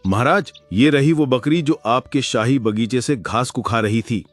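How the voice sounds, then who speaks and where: A man's voice: mid-range voice, a little slow, no echo, faint music behind, close to an everyday voice. A man voicing a loyal elephant chief minister who serves the king, in a Hindi cartoon. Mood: dutiful. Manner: dutiful, deferential to the king.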